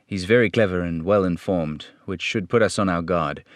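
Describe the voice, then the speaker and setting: A man playing an earnest, fatherly police detective in an old radio serial style, measured and authoritative. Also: low voice, dry recording, studio quality, pitched below the performer's own voice, natural voice. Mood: earnest, businesslike.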